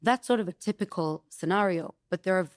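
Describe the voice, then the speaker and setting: A woman's voice: fairly low pitch, quick pace, no echo, studio quality. A woman attorney, a guest in an interview, explaining methodically and walking through examples.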